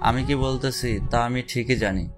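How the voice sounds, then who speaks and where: A man voicing a calm, loyal middle-aged politician who speaks firmly and in a measured way. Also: medium pitch, music in the background, close to an everyday voice.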